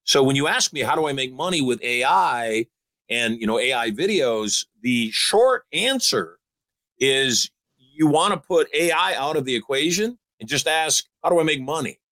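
A man's voice: mid-range voice, animated, normal speed, a bit bright, dry recording, low-quality recording. Upbeat older man coaching a caller. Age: 60s. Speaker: host giving advice. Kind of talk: motivational call-in advice.

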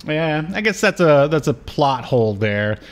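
A man co-hosting a podcast, chatting casually about television in a hesitant, halting way as he recaps. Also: on the high side, big pitch swings. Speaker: podcast co-host. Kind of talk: casual TV review chat.

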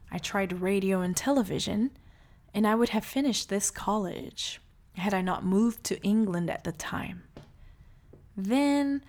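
A woman in her late thirties from Brazil, calmly telling her life story in a reflective monologue. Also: mid-range voice. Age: 30s.

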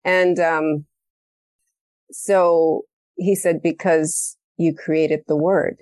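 Woman, podcast host, low voice, dry recording, lively chatty storytelling. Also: very slow, dry recording. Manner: animated storytelling, acts out quoted dialogue, laughs often. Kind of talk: casual conversational interview.

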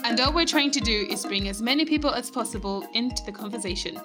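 A Malawian-accented woman co-hosting a podcast, reading her lines in a clear, measured way. Her voice sits slightly high. Faint music plays underneath. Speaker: podcast co-host. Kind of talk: scripted podcast intro.

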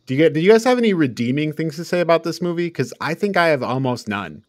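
A man's voice: fairly high pitch, lively intonation, fast talker, no echo. Blunt male podcast host, close dry sound. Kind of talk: casual film review discussion.

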